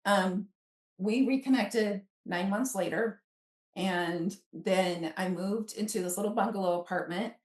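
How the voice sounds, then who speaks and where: A woman's voice: mid-range voice, measured pace. A woman in her fifties telling personal stories in a casual, conversational way, acting out quoted asides as a guest. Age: 50s. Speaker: guest author. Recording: roomy echo, distant from microphone.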